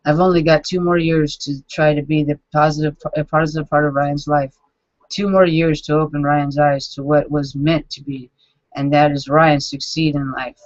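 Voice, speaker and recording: A man in his forties reading his own journal entries aloud, halting and self-critical, with frequent restarts. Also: on the high side, dry recording. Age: 40s. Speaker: vlogger. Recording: dull lo-fi dry recording.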